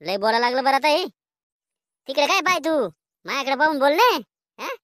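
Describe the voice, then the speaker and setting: A quarrelsome village schoolboy from a comedy cartoon, played by a woman, with a high voice and a rural Maharashtrian accent. He talks in slangy taunts and is quick to blame others. The sound is dry, without any room. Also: animated, fairly bright tone, no echo.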